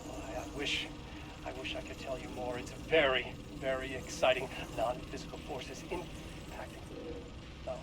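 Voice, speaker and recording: An actor playing an eager, awkward senior scientist addressing staff in a video game, stammering and rambling earnestly. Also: male voice, medium pitch, little pitch movement, moderate pace, noisy background, close to an everyday voice. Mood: earnest excitement.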